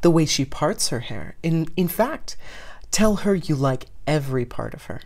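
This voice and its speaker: A narrator of unclear sex voicing an anxious, loving mother who gushes advice in an excitable, dramatic way in a story reading. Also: medium pitch, normal speed, gravelly. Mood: eager encouragement. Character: anxious, loving, overeager mother of a teenage boy.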